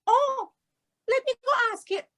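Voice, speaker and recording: A woman's voice: very high voice, a little slow, a bit bright, pitched above the performer's own voice. A woman voicing a timid, innocent little girl with a hesitant manner, for a children's storybook. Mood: flustered. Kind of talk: storybook read-aloud.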